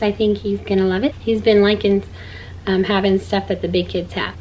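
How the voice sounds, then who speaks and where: Hoarse mom vlogger, chatty and upbeat, mid pitch, bit of background hiss. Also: female voice, low-quality recording. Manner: chatty, upbeat, showing items. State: hoarse, losing her voice. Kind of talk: casual chat to camera. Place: wooden log home bedroom.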